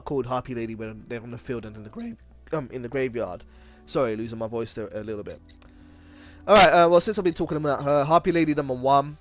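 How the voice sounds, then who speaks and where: A man hosting an unscripted card game video, explaining things informally and often correcting himself as he goes. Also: medium pitch. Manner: halting, self-correcting, informal. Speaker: video game deck profile host. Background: music.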